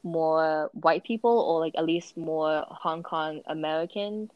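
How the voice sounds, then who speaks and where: Young woman, low-ish voice, says like a lot, dry close sound. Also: unhurried, no room sound, muffled recording. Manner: casual, hedging with frequent "like". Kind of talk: casual conversation.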